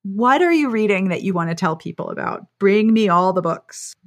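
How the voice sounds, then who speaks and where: A woman's voice: mid-range voice, expressive, moderate pace. A woman hosting a podcast interview in casual chat, with a playful, informal way of talking. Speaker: podcast host.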